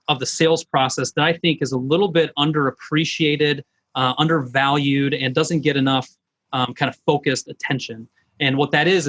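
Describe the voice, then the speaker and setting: A man's voice: medium pitch, fairly bright tone. A middle-aged man, a business coach, presenting online in an informal, folksy, conversational way.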